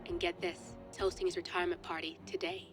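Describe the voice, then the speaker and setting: A woman's voice: lowish voice, flat delivery, brisk, over music, natural voice. An actress playing a composed female intelligence contact in a cinematic game, briefing in a matter-of-fact, controlled way. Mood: businesslike.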